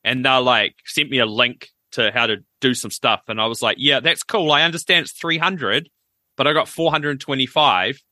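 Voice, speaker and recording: A man's voice: medium pitch, normal speed, pushed and bright, no echo. A man, co-hosting a podcast in casual chat, explaining things at length with wry asides. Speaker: podcast co-host.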